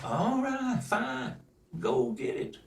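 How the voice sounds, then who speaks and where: A man's voice: extremely high pitch, wildly swooping pitch, slow, distant mic, a little hiss or hum, voice pushed up, heavily acted. A man voicing a rich, stingy, hard-hearted uncle who barks orders. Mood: gruff. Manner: gruff, loud. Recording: roomy echo.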